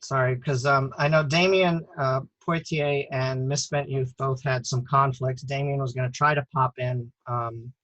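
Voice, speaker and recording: Halting man hosting a premiere chat. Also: medium pitch, steady tone, fairly bright tone, no echo, rough recording. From United States. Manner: halting, many fillers and restarts. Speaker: author and host. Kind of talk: casual online premiere chat.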